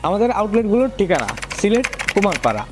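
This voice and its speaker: Male product presenter, Bangladeshi accent, high register, very quick scripted sales read over clear background music. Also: very animated. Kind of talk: scripted promotional product showcase.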